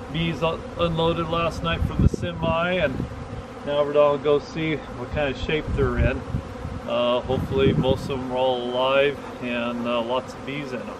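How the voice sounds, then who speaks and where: An older man, a commercial beekeeper, chatting casually about his work, plainspoken and relaxed with frequent fillers, in his truck and out among the hives. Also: mid-range voice, very noisy. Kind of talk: casual vlog chat. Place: inside a pickup truck and outdoors in an orchard.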